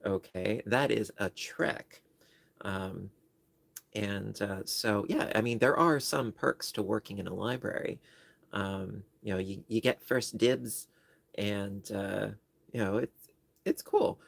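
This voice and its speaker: Gravelly-voiced New York man chatting. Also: lowish voice, takes it slowly, a bit bright, no room sound. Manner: rambling, self-deprecating, joking. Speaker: livestream host. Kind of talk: casual livestream chat.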